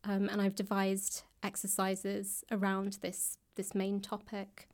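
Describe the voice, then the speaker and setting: A woman's voice: medium pitch, monotone, slow, darkish tone. A woman speaks as an interview guest with a mid-range voice. She explains things thoughtfully in a natural, conversational way, working through her points as she talks. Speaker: interview guest, author and actor.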